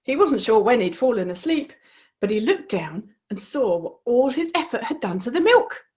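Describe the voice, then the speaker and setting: A woman reading her children's storybook aloud in an expressive, encouraging way. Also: fairly high pitch, moderate pace, highs cut off. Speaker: author reading her own book.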